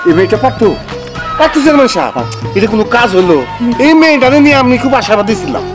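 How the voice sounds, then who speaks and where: A man playing the excitable, fussing sidekick of a village chairman in a comedy skit. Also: very high-pitched, racing. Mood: disappointed. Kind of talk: Bengali comedy skit acting. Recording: loud music over the voice.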